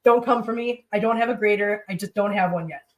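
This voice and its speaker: A chatty woman at a middle pitch, cooking in her home kitchen and rambling to her viewers and her pets. She sounds congested. Her voice sits a little back from the mic. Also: fast talker, recorded from a distance. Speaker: cooking livestream host. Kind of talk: casual chat while cooking.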